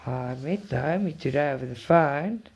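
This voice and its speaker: A woman's voice: low-pitched, animated, moderate pace, gravelly, darkish tone, breathy edge, dull audio. A woman from South Africa whose first language is Afrikaans, chatting casually and effusively with viewers as a cross-stitch channel host. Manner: chatty, effusive, repeats words. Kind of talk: casual chat with live viewers.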